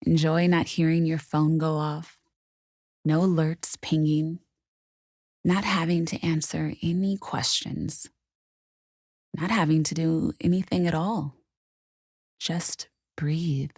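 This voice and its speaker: A woman guiding a meditation in a soothing, unhurried, softly spoken way with gentle pauses. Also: sits low, fairly even intonation, dry recording, studio quality. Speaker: meditation guide. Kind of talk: guided meditation narration.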